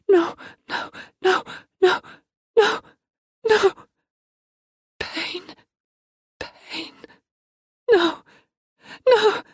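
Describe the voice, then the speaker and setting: A woman performing a broken, tormented young woman in an audiobook, speaking in halting one-word fragments that drift between whispers and cries. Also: very high-pitched, no room sound, studio quality, exaggerated voice. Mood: anguished. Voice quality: breathy. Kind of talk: audiobook inner-monologue performance.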